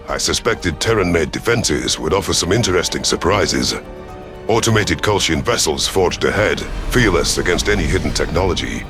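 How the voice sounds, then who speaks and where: Deep, gruff reptilian predator commander, acted by a man. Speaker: narrator in character. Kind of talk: first-person scripted narration.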